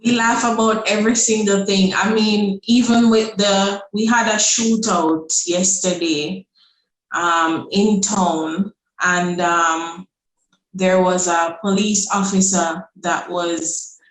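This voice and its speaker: A woman's voice: medium pitch, fairly even intonation, slow pace, a little hiss or hum. A woman from Jamaica, a guest on a podcast, talking in an easygoing, storytelling way. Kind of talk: casual podcast conversation. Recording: echoey room.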